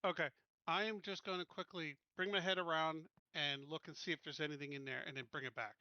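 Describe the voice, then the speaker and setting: A man's voice: a little high, fairly even intonation, a little fast, pushed and bright, no echo, muffled recording. A man chatting casually as a player in a tabletop roleplaying game.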